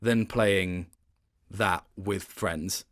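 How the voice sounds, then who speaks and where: A man in his late twenties speaks as a guest in a relaxed interview. His delivery is conversational and rambling. He often doubles back to rephrase as he tells a story. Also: low-pitched, drawn-out words. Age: late 20s. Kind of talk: casual gaming interview chat.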